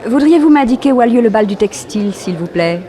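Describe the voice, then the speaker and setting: An actress playing a polite, inquisitive newspaper reporter in a 1960s French radio drama, poised and gracious. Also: female voice, fairly high pitch, expressive, a little fast, mellow, lightly acted.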